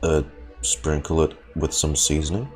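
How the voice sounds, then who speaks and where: A man doing casual live commentary on a game, halting, deadpan and often swearing. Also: a bass voice. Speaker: streamer reacting to a game. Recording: game music behind. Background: video game music and dialogue.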